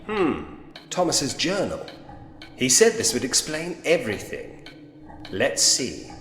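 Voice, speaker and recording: An actor playing a sceptical, dryly witty Edwardian gentleman investigator in a point-and-click mystery game. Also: medium pitch, huge pitch swings, slow, a little hiss or hum, heavily acted. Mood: wry musing. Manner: wry, muttering to himself, old-fashioned gentlemanly phrasing. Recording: echoey hall reverb, music underneath.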